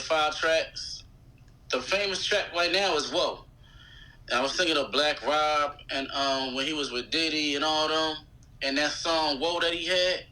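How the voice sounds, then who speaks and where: A man in his thirties with an American accent and a very bright, sharp voice, pitched a little high. He chats casually as a guest on a show. His talk is loose and slangy. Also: rough recording. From United States. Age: 30s. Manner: slangy, conversational. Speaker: guest, rapper. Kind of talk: casual interview chat.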